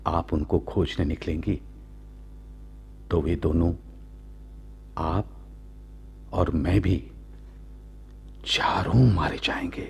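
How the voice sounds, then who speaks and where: A man playing a proud, lovestruck royal commander of ancient India in a radio drama, speaking in measured, halting, formal courtly phrases. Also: low voice, fairly even intonation, a little hiss or hum, full character voice. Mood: gentle, persuading. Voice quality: gravelly, husky. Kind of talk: slow, theatrical Hindi radio drama acting.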